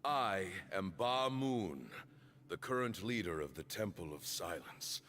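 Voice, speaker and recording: An actor voicing a dignified temple leader in a video game, with formal, stately, measured oratory. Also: male voice, deep voice, animated, fairly bright tone, slight background noise. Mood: solemn, commanding. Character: dignified male leader of a secretive desert temple.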